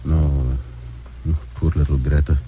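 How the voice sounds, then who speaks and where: An actor playing an anxious, devout immigrant father who speaks in halting, formal, stammering phrases. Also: a bass voice, level tone, slight background noise. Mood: solemn. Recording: muffled old radio broadcast.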